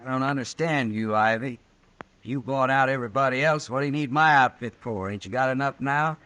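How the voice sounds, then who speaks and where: A man's voice: mid-range voice, a little fast, sharp tone, natural voice. A man playing an honest, stubborn mule driver with a rural American accent, plain-spoken, in an old-time radio western. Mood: defiant. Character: honest, stubborn mule-driving freight hauler.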